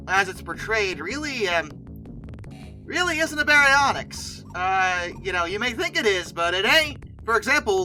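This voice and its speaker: A very bright, forceful voice with lively pitch swings, in a mid-to-high register. The host talks casually and emphatically, rambling and correcting as they go. Music plays underneath. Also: low-quality recording. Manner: hesitant, self-correcting, conversational rambling. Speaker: video host. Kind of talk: casual commentary on film dinosaurs.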